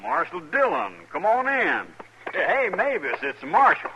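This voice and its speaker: An actor playing a stubborn, forthright young cowboy husband in an old radio western, with a rural American accent. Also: male voice, high voice, huge pitch swings, unhurried, dull audio, background noise, heavily acted. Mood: hearty. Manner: plain, forthright. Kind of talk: mid-century American radio western acting.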